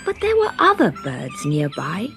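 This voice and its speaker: A woman reading a scripted children's story in an expressive, deliberate storytelling manner. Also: on the low side, creaky. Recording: background music under the reading.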